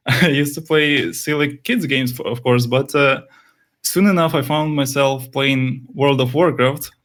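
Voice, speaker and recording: A man's voice: medium pitch, a little slow, fairly bright tone. A young man whose first language is Russian, a game developer answering interview questions hesitantly, with many fillers and restarts.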